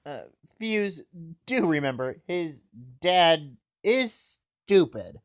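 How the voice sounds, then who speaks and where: A man's voice: fairly high pitch, very animated, slow. A young man giving a casual comedic review, talking in a sarcastic, sweary way. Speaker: anime reviewer.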